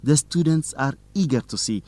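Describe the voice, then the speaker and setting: A man's voice: mid-range voice, lively intonation, moderate pace, mellow, no echo, close to an everyday voice. A man playing a polite, formal young student reporter in a scripted educational radio drama. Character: polite young male student newsletter interviewer. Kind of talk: scripted radio drama acting for English learners.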